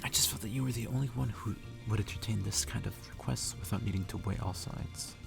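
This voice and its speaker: A man softly reading the lines of an easygoing, kindly male schoolteacher from a story. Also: fairly low pitch, fast talker, vocal fry, over music. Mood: calm explaining.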